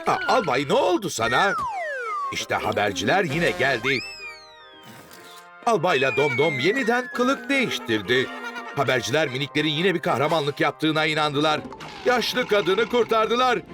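A man's voice: on the high side, big pitch swings, normal speed. A man narrating a children's cartoon in an exclamatory, theatrical way, with frequent rhetorical questions. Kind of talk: animated scripted cartoon narration. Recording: music under the voice. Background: music.

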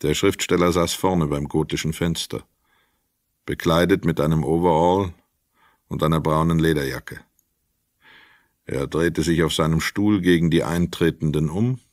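A man's voice: low-pitched, no room sound, clean studio sound. A man narrating a crime radio play in a scripted, literary storytelling style. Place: dry studio.

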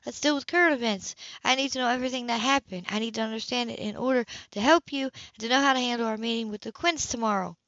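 A woman's voice: fairly high pitch, fast talker, hardly any pauses, a bit bright, no room sound, lo-fi sound, voice pushed up. A woman voicing a controlled, exasperated sister who speaks in a firm, clipped, insistent way.

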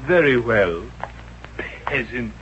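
A man playing a haughty, pompous office clerk in a 1950s radio comedy, with drawn-out words. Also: medium pitch, animated. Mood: disdainful. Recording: dull, noisy 1950s radio recording.

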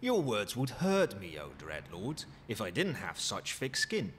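A man's voice: fairly low pitch, wildly swooping pitch, unhurried. A man playing a courteous, cheeky undead skeleton butler in an ASMR roleplay, with a formal, theatrical manner. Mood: earnest, cheeky. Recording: long hall reverb, noisy ambience.

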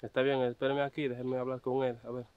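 A man's voice: mid-range voice, little pitch movement, fast talker, smooth, no echo, narrow, tinny audio, lightly acted. A young man acting as an obedient servant at a farm gate, who talks in a teasing, mocking way. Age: young adult. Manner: teasing, chuckling. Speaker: actor. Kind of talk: web drama acting.

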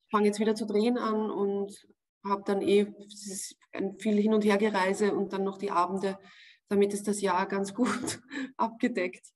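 A woman in her forties with an Austrian accent, answering interview questions from home over a video call. She speaks casually and often pauses with fillers while searching for the right words. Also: mid-range voice, level tone. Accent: Austrian German. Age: 40s. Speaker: actress, interview guest. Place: home room, indoors. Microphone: video call webcam.

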